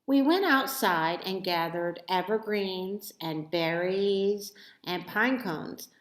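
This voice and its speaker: Woman, storybook reader, very slow pace, medium pitch, expressive and dramatic narration, echoey room.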